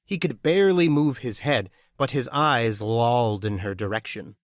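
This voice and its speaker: A man's voice: mid-range voice, normal speed, dry recording, clean studio sound. A man reading scripted fiction narration as an audiobook narrator.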